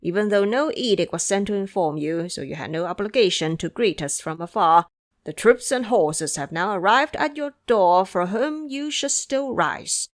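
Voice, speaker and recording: A woman's voice: mid-range voice, animated, moderate pace, lightly acted. A woman playing a haughty, hot-tempered young crown prince in an audio drama, speaking in an imperious, formal way. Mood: imperious anger.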